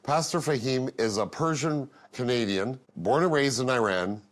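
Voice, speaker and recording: A man hosts an interview show, opening with a scripted welcome before putting plain, direct questions to his guest. His voice sits at an ordinary pitch. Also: normal speed. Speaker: host. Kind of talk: interview host.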